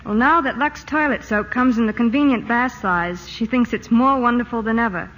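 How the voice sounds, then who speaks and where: A woman's voice: on the high side, moderate pace, fairly bright tone, highs cut off. A woman reading a scripted 1940s radio commercial, sweetly enthusiastic and gushing. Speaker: actress in radio ad.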